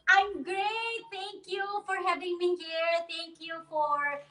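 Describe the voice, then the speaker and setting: A woman's voice: very high-pitched, fairly even intonation, slow pace, bright, forceful tone. A woman in her thirties from the Philippines, a guest in a casual online interview who laughs often and uses many fillers.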